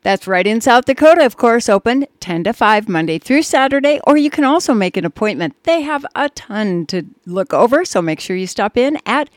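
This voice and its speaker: A woman's voice: a little high, lively intonation, normal speed, hardly any pauses, dry recording, clean studio sound. A woman co-hosting a radio show, chatting and reading ads in an upbeat way with bursts of emphasis. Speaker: radio co-host.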